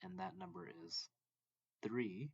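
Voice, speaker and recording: A man reading a math textbook lesson aloud as a tutor, deliberately and in short phrases. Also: fairly high pitch, animated, vocal fry, darkish tone, breathy edge, no echo, dull audio.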